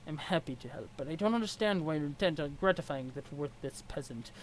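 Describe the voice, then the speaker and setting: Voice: medium pitch, expressive, a little fast, close to an everyday voice, poor audio. A performer voicing a formal, haughty male alien aristocrat who is easily rattled, with stiff, pedantic and over-polite diction. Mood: curt, haughty. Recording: clear background noise.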